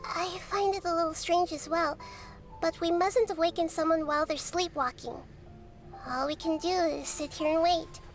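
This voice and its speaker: A woman voicing a youthful, wise female goddess in a video game, speaking in a calm, measured and formal way. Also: extremely high pitch. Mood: thoughtful. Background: game music.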